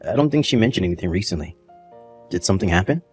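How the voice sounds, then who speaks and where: A man playing a casual, easily astonished gossip with a husky, rough voice in a dramatized story. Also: low voice, expressive, rapid-fire, a little mellow, faint music behind. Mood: casual curiosity. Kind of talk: dramatized text-message story voice acting.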